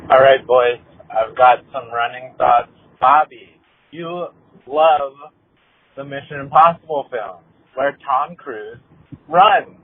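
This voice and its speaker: A man's voice: medium pitch, slow pace, breathy edge, no echo. A man leaving a casual, emphatic voicemail as a caller over a lo-fi phone line.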